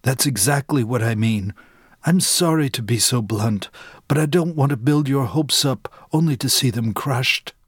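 A man voicing a calm, blunt adult male healer who explains things firmly. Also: mid-range voice, moderate pace, husky, no room sound, studio quality, close to an everyday voice. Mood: grave. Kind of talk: audiobook character reading.

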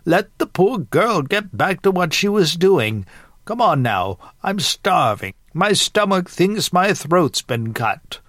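A man putting on a sassy, high-pitched granny. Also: sing-song, slow, a bit bright, no echo, clean studio sound, full character voice. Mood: teasing. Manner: folksy, teasing, gossipy. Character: sassy elderly Southern grandmother, playful, teasing, blunt.

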